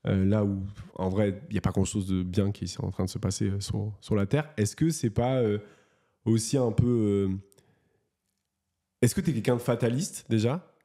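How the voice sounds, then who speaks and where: A man's voice: on the low side, steady tone, normal speed, mellow. A man hosting a casual interview, talking with many hesitations and restarts. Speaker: host.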